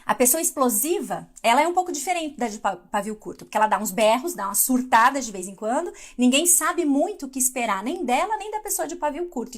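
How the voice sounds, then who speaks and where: A middle-aged woman from Brazil giving a conversational live devotional talk, reacting to viewer comments as she goes. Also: sits high. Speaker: devotional speaker.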